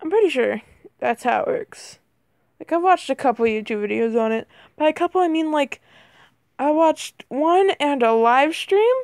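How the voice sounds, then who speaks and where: High-voiced chatty woman gamer, voice cracking. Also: expressive, normal speed, a little mellow, no room sound, clean studio sound. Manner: chatty, says "bro" and "like" constantly; self-deprecating, easily flustered. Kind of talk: casual mobile gameplay commentary.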